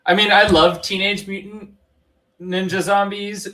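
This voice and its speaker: A man's voice: sits high, level tone, measured pace. A man on a talk show panel, chatting casually and jokingly. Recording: distant mic with faint noise, clicks.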